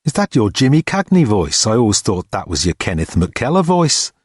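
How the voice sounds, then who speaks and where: A man voicing a large, unflappable college porter in an audiobook, dry, sceptical and deadpan. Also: on the low side, normal speed, husky, no room sound. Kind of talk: audiobook character dialogue.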